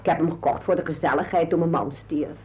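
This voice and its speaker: An actress playing a sociable older widow in a radio drama, garrulous and confiding. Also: female voice, low voice, quick pace, lightly acted. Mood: confiding, animated. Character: chatty, sociable widow devoted to her poodle. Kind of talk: radio drama acting, conversational. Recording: dull lo-fi radio play recording.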